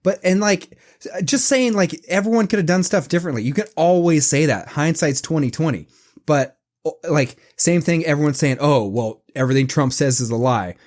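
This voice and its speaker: A man in casual podcast chat, reasoning in an even-handed way and laughing as he argues. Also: on the high side, moderate pace.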